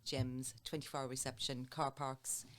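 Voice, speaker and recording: A middle-aged woman, a hotel sales manager, calmly explaining her work as an interview guest, often listing examples. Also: very deep voice, normal speed, slight background noise. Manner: plain, steady explaining with listed examples.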